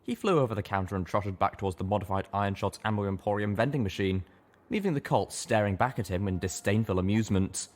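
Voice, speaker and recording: A man's voice: lowish voice, fast, a little mellow. A man reading a scripted story as an audiobook narrator. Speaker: audiobook reader.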